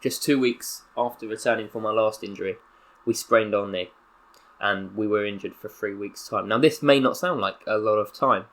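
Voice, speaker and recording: A young man with a Southern English accent reads out a mock press statement in a low, earnest voice. His delivery is deliberately solemn, slipping now and then into excitable asides. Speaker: gaming YouTuber. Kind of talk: role-playing a footballer's press statement.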